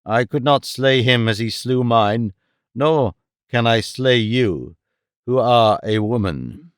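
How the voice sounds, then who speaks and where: A man voicing a grim, vengeful jungle-raised hero who speaks low, slow and menacing. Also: expressive, smooth, dry recording, clean studio sound. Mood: grave.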